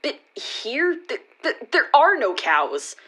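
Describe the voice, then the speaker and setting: A fairly high-voiced anxious girlfriend, played by a woman in a radio play. She speaks in earnest, halting, naturalistic dialogue, trying to reason with her partner and hold a failing relationship together. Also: sing-song, fairly bright tone, full character voice. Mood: hurt, insistent.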